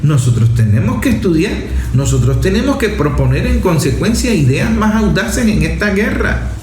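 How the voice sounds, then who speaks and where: A man's voice: high-pitched, sing-song, normal speed, darkish tone. A man with a Venezuelan accent giving impassioned political commentary, insistent and repetitive, driving points home with rhetorical questions. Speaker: journalist and speaker. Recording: echoey room with faint noise.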